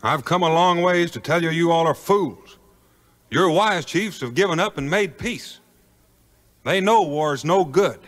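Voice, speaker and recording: A man playing a brave, easygoing frontiersman, folksy and unhurried, in a 1950s audio adventure. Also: fairly high pitch, fairly bright tone. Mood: bold, challenging. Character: plain-spoken, brave, easygoing frontiersman hero. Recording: 1950s record, music behind.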